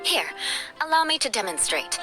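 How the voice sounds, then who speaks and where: A woman's voice: a little high, sing-song, moderate pace. An actress playing a proud young noblewoman in a fantasy game, speaking in a formal, precise, haughty and instructing manner. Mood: instructive, formal. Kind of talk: animated fantasy game voice acting. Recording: music playing behind the voice.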